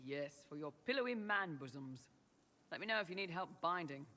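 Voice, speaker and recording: A man's voice: a little high, animated, a little fast, bright, forceful tone, in a large hall. An actor playing a young male mercenary lieutenant in a video game, teasing with dry, sardonic wit. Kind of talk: video game character dialogue.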